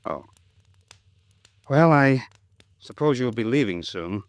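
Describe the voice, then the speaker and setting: A man with an American accent playing a polite, uneasy office man with something to hide, speaking haltingly in an old radio drama. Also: medium pitch, lively intonation, dry recording. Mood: awkwardly grateful. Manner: hesitant, halting, courteous. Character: polite, uneasy male company man hiding guilt. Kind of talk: 1950s radio drama acting.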